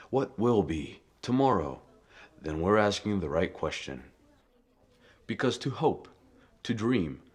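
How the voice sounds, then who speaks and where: Male lead for audio drama: actor as brooding, authoritative billionaire vigilante, deep voice, deliberate and grave. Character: solemn, commanding male billionaire secretly a vigilante. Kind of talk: audio drama acting, measured speechmaking.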